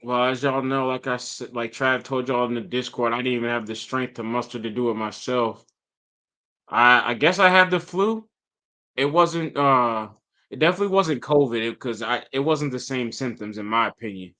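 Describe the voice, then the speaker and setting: Podcast co-host, male, bright, slightly nasal from the flu, rambling casual delivery. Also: medium pitch, moderate pace. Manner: relaxed storytelling, lots of fillers. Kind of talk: casual chat.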